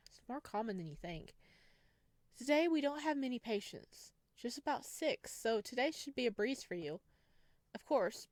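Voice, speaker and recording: A woman's voice: on the high side, dry recording, close to an everyday voice. A woman voicing a friendly, efficient nurse in a visual novel, polite and businesslike. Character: friendly, efficient female resident nurse on psych ward.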